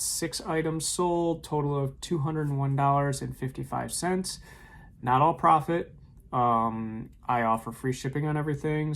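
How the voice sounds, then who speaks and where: A man's voice: mid-range voice, a little hiss or hum. Laid-back man, lots of ums. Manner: relaxed, frequent ums and uhs. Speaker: eBay reseller vlogger. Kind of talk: casual recap of sales. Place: home room with shelves of tapes.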